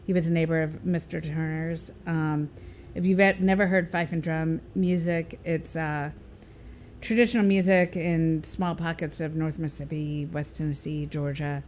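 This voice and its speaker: A woman's voice: low voice, steady tone, normal speed, a little mellow, a little hiss or hum. A woman, a radio guest talking casually with many fillers and restarts.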